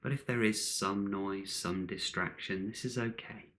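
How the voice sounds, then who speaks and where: Calm guy doing guided meditation, really slow, kinda deep voice, lots of space between short phrases. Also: slight background noise. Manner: calm, measured, short phrases with long pauses.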